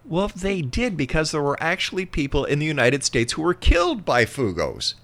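A man's voice: fairly high pitch, huge pitch swings, normal speed, fairly bright tone. Emphatic man hosting an interview. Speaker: radio interview host. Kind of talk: conversational interview with read-out facts.

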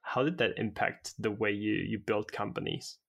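A man's voice: on the low side, normal speed, gravelly, fairly bright tone, husky. A man interviewing a guest as a podcast host, in a casual conversational style.

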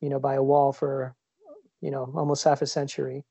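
A man's voice: medium pitch, level tone, brisk, vocal fry, dark tone, breathy edge, no echo. A man from Switzerland chatting casually as a podcast co-host, rambling with lots of fillers and restarts. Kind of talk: casual chat. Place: lives in New York.

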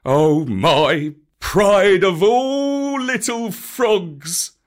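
A reader voicing a big kindly old bear who speaks gravely and fondly in a storybook. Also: medium pitch, lively intonation, very slow, voice pushed up. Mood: tender.

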